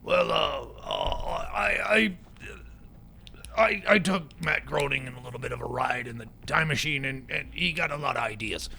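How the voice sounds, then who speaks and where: A man playing a jittery, rambling eccentric sidekick in a radio comedy skit, stammering and repeating words in a creaky voice. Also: medium pitch, wildly swooping pitch, moderate pace, sharp tone, a little hiss or hum, exaggerated voice. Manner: stammering, agitated, repetitive. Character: jittery, rambling eccentric male time-machine tinkerer sidekick.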